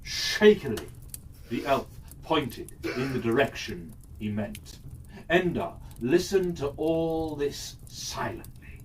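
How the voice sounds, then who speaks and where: A man narrating a scripted children's fairytale as a storyteller, expressive and deliberate. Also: medium pitch. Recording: distant mic with faint background noise.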